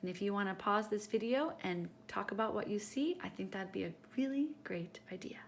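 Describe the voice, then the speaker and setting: Storytime host, female, very lively pitch swings, teacherly read-aloud for young kids, some background noise. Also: a little fast. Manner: animated, teacherly, addressing young listeners directly. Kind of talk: expressive children's picture-book read-aloud.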